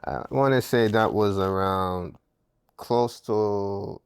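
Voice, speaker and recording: A Jamaican man with a very deep, smooth and resonant voice talks slowly and casually. He speaks in a relaxed, hesitant way, often pausing on fillers. He comes across as a podcast guest. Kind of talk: casual conversation.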